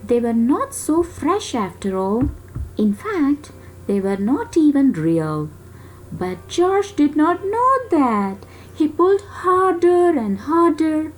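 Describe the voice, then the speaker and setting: A woman reading a children's story aloud in an expressive way, with dramatic pauses and emphasis. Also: high voice, poor audio.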